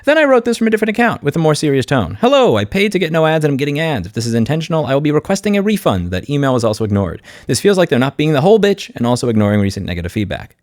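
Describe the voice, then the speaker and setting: A man's voice: on the high side, very animated, very fast, hardly any pauses, darkish tone, no room sound, clean studio sound. A man hosting an informal commentary video, speaking in a blunt, sardonic and opinionated way. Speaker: video host, consumer rights commentator.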